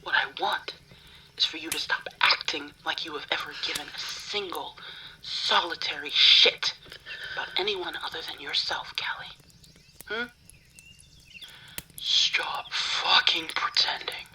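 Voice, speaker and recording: A woman playing a cold, obsessive yandere menacing a rival in an ASMR roleplay, hushed, deliberate and contemptuous. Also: low-pitched, exaggerated voice, rough recording.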